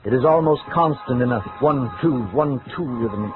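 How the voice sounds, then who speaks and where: A man's voice: mid-range voice, moderate pace, close to an everyday voice. An actor playing a cold, precise, increasingly tormented scholar who narrates his murder journal in formal, self-important diction in an old radio drama. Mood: suffering, oppressed. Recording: vintage radio, narrow band, music behind.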